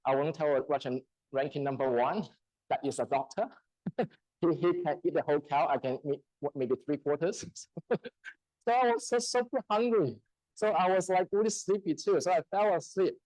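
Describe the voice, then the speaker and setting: Halting storytelling man, dry close sound. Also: a little high, a little mellow, no echo, poor audio. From China. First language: Chinese dialect. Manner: halting, storytelling, laughs often. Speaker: church speaker. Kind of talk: testimony sermon. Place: church hall.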